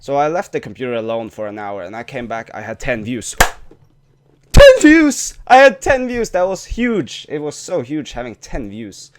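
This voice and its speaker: A man's voice: mid-range voice, sing-song, moderate pace, a little hiss or hum. A young man whose first language is Norwegian, talking casually to camera in a vlog with frequent restarts and swearing. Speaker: YouTuber.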